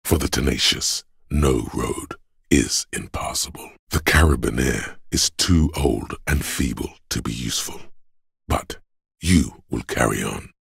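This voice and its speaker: Grim inner body voice from a video game, super raspy, slow and ominous, close dry sound. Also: male voice, fairly bright tone, no echo, exaggerated voice. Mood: grave, resolute. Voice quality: raspy, gravelly, very deep. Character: grim, gravelly inner voice of a body's endurance. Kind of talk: scripted second-person game narration.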